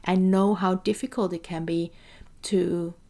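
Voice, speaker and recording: Woman podcast host, non-native English speaker, gravelly edge, lively and playful delivery. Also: lowish voice, unhurried. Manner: lively, chatty, playful asides. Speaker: language coach and podcast host. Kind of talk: solo podcast talk.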